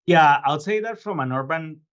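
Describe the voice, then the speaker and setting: A middle-aged man from Colombia, a guest urban planner giving measured explanatory answers with frequent filler sounds. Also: fairly high pitch, expressive, muffled recording. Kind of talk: podcast interview answers.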